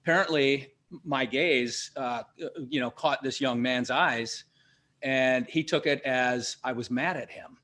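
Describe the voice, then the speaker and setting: A man hosting an interview and teaching leadership, talking conversationally in a halting way, with restarts while he tells personal stories. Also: mid-range voice, bright, forceful tone.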